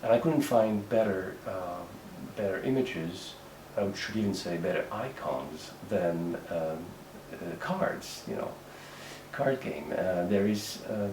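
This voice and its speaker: Moroccan guy, mellow lowish voice, lots of uhs and ums, echoey room, mic kinda far off. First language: Moroccan Arabic. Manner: hesitant, many fillers and restarts. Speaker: writer. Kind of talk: interview monologue. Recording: echoey room, mic at a distance, faint background noise.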